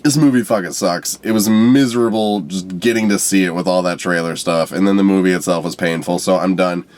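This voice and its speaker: A young man with a stuffed-up nose giving an unscripted, profane movie review rant, swinging between loud outbursts and low mutters. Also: fairly quick.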